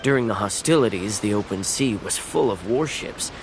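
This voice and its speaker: A man's voice: lowish voice, animated, moderate pace, background noise. Man playing a humble, folksy island fisherman. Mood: grateful. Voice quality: folksy and rambling, with hesitant fillers. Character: grateful island fisherman, humble and warm-hearted. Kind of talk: video game voice acting. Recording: game music underneath.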